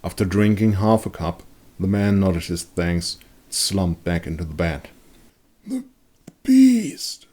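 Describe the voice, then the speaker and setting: A man giving a dramatic scripted narration of a dark fantasy story. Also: sits low, steady tone, normal speed. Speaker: author and narrator.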